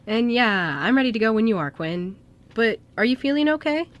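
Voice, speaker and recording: A woman's voice: on the high side, big pitch swings, normal speed. A woman playing a sassy, casual young male ghost in an amateur radio play. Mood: grateful, friendly. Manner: casual, flippant.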